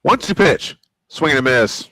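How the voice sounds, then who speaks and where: A man's voice: a little high, animated, normal speed, pushed and bright, dry recording. An American man doing live old-school baseball play-by-play commentary, mixing calls with casual asides. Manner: old-school broadcast delivery. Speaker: play-by-play sportscaster. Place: small home-style studio.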